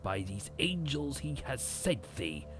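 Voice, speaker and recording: A man narrating gothic horror poetry as a dramatic audiobook, theatrical, with drawn-out phrases and sudden swells. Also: medium pitch, big pitch swings. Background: dark ambient game soundtrack music.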